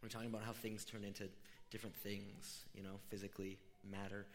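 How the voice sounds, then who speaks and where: A middle-aged man preaching a conversational sermon, informal and explanatory, with a voice a little rough from being unwell. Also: on the low side, little pitch movement, fast, a little hiss or hum. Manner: informal, storytelling, explaining step by step. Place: large room, amplified before a congregation. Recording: reverberant hall.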